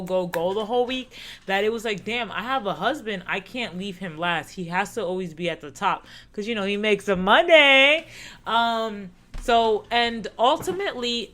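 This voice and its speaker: A woman's voice: mid-range voice, a bit bright. A woman in her thirties co-hosting a casual podcast, talking earnestly and reflectively, often repeating herself. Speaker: podcast co-host. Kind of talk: casual chat.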